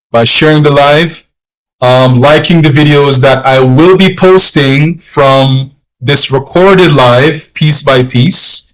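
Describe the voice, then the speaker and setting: A man's voice: fairly high pitch, a bit bright, low-quality recording. A Jamaican-born man hosting a language-learning livestream, talking in a loose, rambling way with fillers and repeats, in an accent he says is not his natural one. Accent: put-on non-Jamaican accent, not his natural one. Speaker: language teacher, livestream host. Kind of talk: casual livestream talk.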